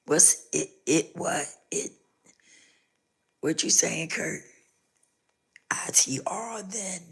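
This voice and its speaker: A low-pitched woman whose voice has gone hoarse and rough, half whispering with a gravelly fry through much of it. She hosts a casual live stream, circling back over the same points as she speaks directly to her viewers. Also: lively intonation, very slow, fairly bright tone. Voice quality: rough, scratchy voice. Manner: repetitive, talks straight to viewers.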